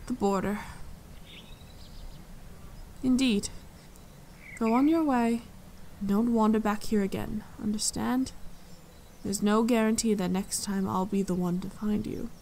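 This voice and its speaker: Slow, creaky regal fae woman played by an actress. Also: medium pitch, fairly even intonation, a little hiss or hum, lightly acted. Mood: stern, intrigued. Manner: measured, composed, gently condescending to the listener. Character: tall, regal fae court leader, dryly amused, protective. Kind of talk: slow, intimate ASMR fantasy roleplay. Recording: forest ambience with birdsong. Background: birdsong and forest sounds.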